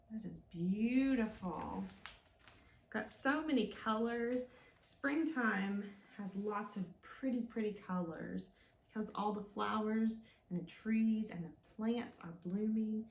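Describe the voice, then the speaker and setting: A woman leading a children's storytime as a librarian, reading aloud expressively and talking gently and simply to young listeners. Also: a little high, gravelly. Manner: gentle, simple, encouraging talk aimed at small children. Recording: roomy, echoing indoor sound.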